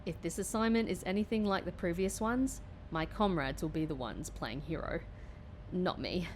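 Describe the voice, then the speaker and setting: A woman's voice: lowish voice, normal speed, slight background noise, close to an everyday voice. A woman playing a wry, stubborn agent recovering from injury in an audio drama, with a dry, defiant manner.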